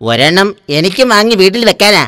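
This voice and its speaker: A man's voice: sits high, big pitch swings, fairly quick, sharp tone, no echo, clean studio sound, full character voice. A man voicing a dim-witted, naive cartoon simpleton who pesters his boss. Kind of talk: comic cartoon voice acting.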